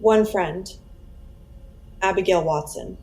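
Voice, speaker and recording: A woman's voice: mid-range voice, takes it slowly, warm tone, close to an everyday voice. A woman acting as a guarded, uneasy witness who answers police in hesitant, terse replies in a crime audio drama. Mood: reluctant.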